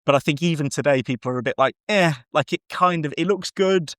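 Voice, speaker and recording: A man's voice: on the high side, a little fast, fairly bright tone, dry recording, studio quality. A man chatting casually and enthusiastically about films as a podcast co-host, with some swearing. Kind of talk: casual film discussion chat.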